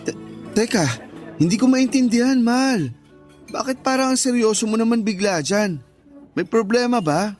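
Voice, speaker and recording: Young Filipino boyfriend, defensive yet eager to please, high male voice, radio drama over music. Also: normal speed, long pauses, darkish tone. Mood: puzzled.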